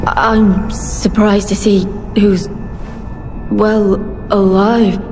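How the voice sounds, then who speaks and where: A gentle, grieving servant of the church in a video game. She speaks slowly and solemnly in a long hall reverb, with faint music underneath. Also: medium pitch, level tone. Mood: sorrowful, pleading. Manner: solemn, measured.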